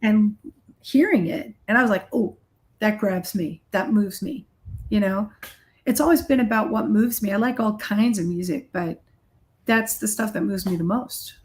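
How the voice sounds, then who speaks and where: A woman's voice: medium pitch, normal speed. A middle-aged woman talking casually as an interview guest, candid and emphatic in a conversational way. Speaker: guest, rock guitarist and recording artist.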